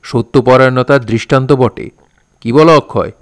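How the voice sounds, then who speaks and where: A man's voice: medium pitch, normal speed, clear, resonant tone, dry recording, clean studio sound, lightly acted. A man playing a stern young man who confronts his friend with sarcastic, insistent questioning in a Bengali audio drama. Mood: sarcastic, probing.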